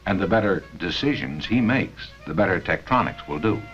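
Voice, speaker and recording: An American man reading scripted narration for a 1970 company training film, with measured, deliberate phrasing and pauses. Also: sits low, lively intonation, fairly bright tone, faint music behind. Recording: dull 1970 film soundtrack.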